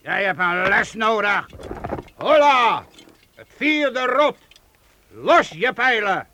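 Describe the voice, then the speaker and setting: A man's voice: sits high, big pitch swings, slow, heavily acted. An actor playing a brash, proud medieval townsman who leads guild archers, blunt and mocking, in a radio play. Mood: mocking, commanding. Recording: faintly noisy old radio play recording.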